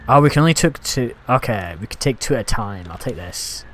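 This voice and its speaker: A man's voice: mid-range voice, lively intonation, brisk, slight background noise. A Scottish man chatting casually and rambling as he streams a game, reacting aloud to what happens on screen. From Scotland. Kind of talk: casual live-stream commentary.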